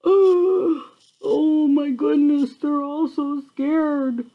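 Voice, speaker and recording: An older woman with a fairly high voice tells a children's story at a very unhurried pace. She reads like a grandmother, pausing between phrases and slipping in chatty, coaxing asides for small listeners. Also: steady tone, dull audio. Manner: coaxing, checks in with young listeners. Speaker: grandmother reading a picture book to children.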